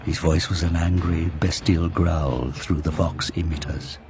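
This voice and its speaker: A man's voice: low-pitched, steady tone, unhurried, over music. A man narrating an audio drama in a dramatic, scripted storytelling style. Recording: clear background noise and ambience.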